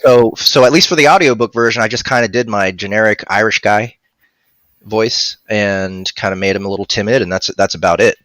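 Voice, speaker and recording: Guy chatting on a livestream, bright edgy voice, dry close headset sound. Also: fairly low pitch, dry recording. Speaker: guest, audiobook narrator and voice actor. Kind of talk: casual live stream chat. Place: home room, on a video call. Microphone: headset mic.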